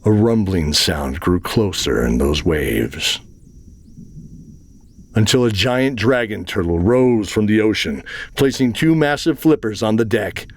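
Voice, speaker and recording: Male narrator, lowish pitch, scripted fantasy storytelling, faint noise behind. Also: animated, measured pace.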